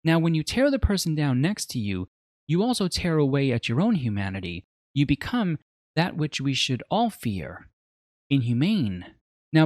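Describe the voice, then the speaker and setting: A man's voice: medium pitch, no echo, studio quality. A man narrating a scripted video essay as a media reviewer, telling the story in a dramatic, reflective way.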